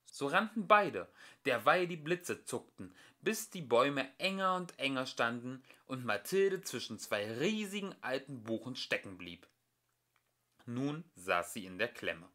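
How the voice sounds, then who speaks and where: A man reads a children's story aloud as an amateur narrator. His voice is bright and forward, and his pitch moves in a lively, expressive way through the sentences. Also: measured pace. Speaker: amateur audiobook reader.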